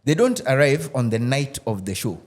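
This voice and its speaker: Animated man telling stories. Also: mid-range voice, moderate pace. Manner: animated storyteller, frequent restarts and laughs. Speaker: actor and podcast guest. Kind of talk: casual roundtable chat. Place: podcast studio.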